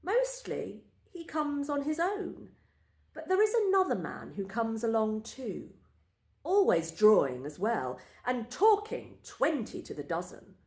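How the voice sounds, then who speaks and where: A woman reading a first-person literary story aloud in a measured, deliberate storytelling manner. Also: fairly high pitch, huge pitch swings, darkish tone. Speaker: story reader. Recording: roomy, echoing space.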